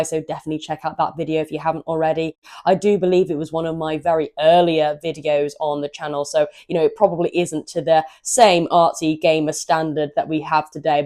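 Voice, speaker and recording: A woman hosting a gaming channel, hoarse from a cold, chatting in an upbeat, rambling way and stumbling over her words now and then. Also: sits low, mellow. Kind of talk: casual chatty walkthrough of a game manual.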